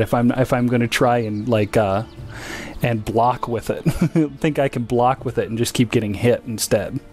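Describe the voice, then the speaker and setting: A man chatting casually and a little haltingly as he streams a video game. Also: mid-range voice, darkish tone. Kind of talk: casual gaming livestream chat.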